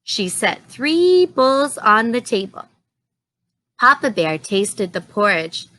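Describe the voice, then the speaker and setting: A woman storyteller reading a children's story aloud in an expressive, deliberate way. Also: a little high, poor audio.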